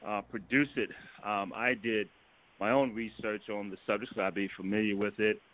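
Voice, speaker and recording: An American man from Washington, D.C., a guest director giving measured, polite, somewhat hesitant interview answers. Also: lowish voice, steady tone. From Washington, D.C., United States. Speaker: guest film and stage director. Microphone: telephone. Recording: tinny narrow phone line.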